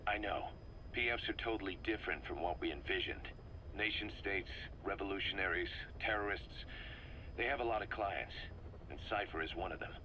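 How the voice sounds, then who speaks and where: Game role: fervent mercenary deputy. Low, hard-edged, persuasive delivery, slight background noise. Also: male voice, normal speed, pushed and bright. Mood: grave, bitter. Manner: persuasive, emphatic, speechifying. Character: fervent, embittered male mercenary second-in-command briefing his boss. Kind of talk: video game dramatic dialogue. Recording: noisy cassette briefing with background rumble.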